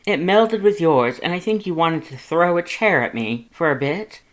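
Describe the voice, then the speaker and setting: A loud, grandiose skeleton brother from a storybook. He speaks high and forcefully, over-enunciating every formal phrase. He is earnest, dramatic and very sure of himself. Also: expressive, moderate pace, rough recording. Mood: earnest, scolding.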